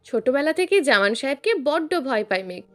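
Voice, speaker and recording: Woman, high voice, dramatic audio story narration, music behind. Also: big pitch swings, a little fast.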